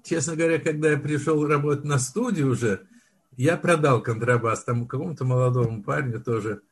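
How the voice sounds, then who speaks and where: An older man, a film director, telling his story in a relaxed interview, often restarting and searching for words. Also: medium pitch, fairly quick, slightly rough. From Soviet Union. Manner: reminiscing, with frequent restarts and word searches. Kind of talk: unhurried storytelling in conversation.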